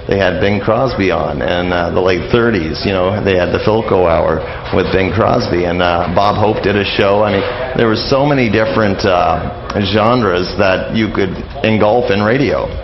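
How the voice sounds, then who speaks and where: Low-voiced man, halting, in a roomy lo-fi recording. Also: gravelly, noisy background. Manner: halting, anecdotal explaining. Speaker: radio interview guest on old-time radio history. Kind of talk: informal interview, explaining and reminiscing. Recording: dull lo-fi radio, roomy, crowd behind.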